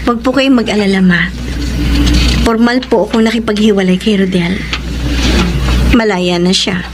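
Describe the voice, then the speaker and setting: An actress in a Filipino radio drama playing a heartbroken, self-sacrificing young wife, speaking with earnest emotion. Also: female voice, mid-range voice, fairly even intonation, normal speed, noisy background, natural voice. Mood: resigned. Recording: loud music bed under the voice.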